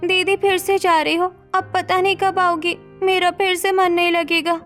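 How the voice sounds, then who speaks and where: A woman voicing a sweet, mischievous teenage girl in an animated family melodrama, girlish and pleading. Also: very high voice, moderate pace, soft background music. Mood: sad.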